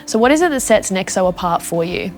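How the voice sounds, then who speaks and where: A woman's voice: mid-range voice, animated, fast, faint music behind. A woman interviewer putting prepared questions to her guest.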